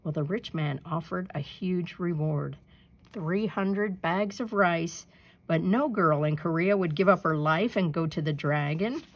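Slow-paced woman reading a folktale. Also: lowish voice, breathy edge. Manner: expressive, deliberate storytelling. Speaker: storybook reader. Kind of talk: scripted narration, children's folktale read aloud.

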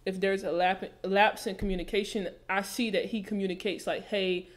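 Young woman, deep voice, laid-back podcast co-host, studio mic in a small room. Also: little pitch movement, moderate pace. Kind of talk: casual chat. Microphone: studio mic with headphones.

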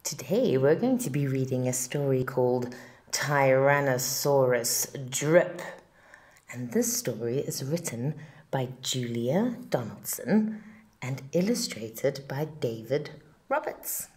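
A woman reading a children's story aloud with expressive, dramatic emphasis and deliberate phrasing. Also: rumbling low. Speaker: storybook reader. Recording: roomy, echoing home recording.

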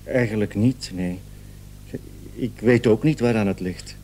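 An actor playing an anxious, long-suffering patient who stammers and repeats himself in a radio drama. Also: male voice, fairly low pitch, measured pace, dark tone. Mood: worried, plaintive. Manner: hesitant, stammering, repeating words. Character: anxious, long-suffering male allergy patient, hesitant and plaintive. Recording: old radio recording, faint hum.

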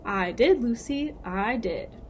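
A breezy, carefree daredevil pilot from a storybook, read by a woman. He sounds jaunty and unbothered in a fairly high voice, over faint noise. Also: lively intonation, slow pace. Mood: cheerful. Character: carefree daredevil young male glider pilot, jaunty mailman.

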